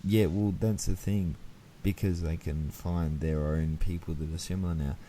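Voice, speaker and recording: A man's voice: low voice, fairly even intonation, moderate pace, warm tone. A young man co-hosting a podcast, giving earnest, opinionated advice in casual, sweary talk. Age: young adult. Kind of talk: casual chat. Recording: distant mic with background noise.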